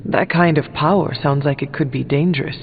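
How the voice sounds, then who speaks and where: Game demon hunter: inquiring, direct, a touch high-pitched, echoing tail on tinny audio. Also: male voice, fairly quick, gravelly. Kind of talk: video game character dialogue. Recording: thin, reverberant audio with faint music.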